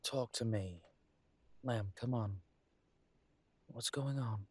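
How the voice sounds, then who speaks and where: A man playing a caring, weary werewolf detective boyfriend in an intimate roleplay audio drama, speaking in an earnest, gently coaxing, conversational way. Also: medium pitch, slow pace, breathy edge, dry recording. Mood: gently concerned.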